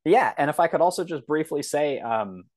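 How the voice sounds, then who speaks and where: A man speaking as a podcast guest in a reflective, conversational way, often halting and circling back with asides. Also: a little high, expressive, a little mellow. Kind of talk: thoughtful conversational interview.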